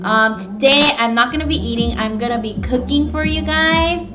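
Young female live-stream cooking host, high pitch, casual meandering chat, dull room sound with music behind. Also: normal speed. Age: young adult. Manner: informal, meandering, greeting viewers. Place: small home room, indoors at a table. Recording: echoey, dull webcam stream, background music.

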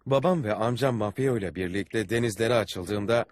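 A man's voice: on the low side, normal speed, no echo, close to an everyday voice. A man playing a seasoned Venetian explorer captain in a dubbed cartoon, earnestly recounting his adventures. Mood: earnest storytelling. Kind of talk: dubbed cartoon dialogue and storytelling. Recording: background music under the voice.

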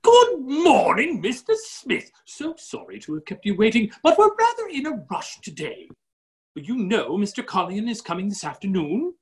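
Jovial rambling old-school duke for a stage play, super animated, swoops all over. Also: male voice, high voice, normal speed. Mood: cheery, hurried. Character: hearty, scatterbrained aristocratic gentleman duke. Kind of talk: theatrical comic play reading. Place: office room on a video call.

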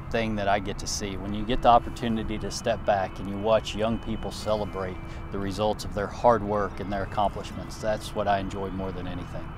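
A man's voice: on the low side, noisy background. A middle-aged man, an athletics director, answering interview questions outdoors at a ballpark in an earnest, praising way. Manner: earnest, praising, measured with fillers. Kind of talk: on-camera interview. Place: outdoors at a baseball field. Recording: music bed underneath. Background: music.

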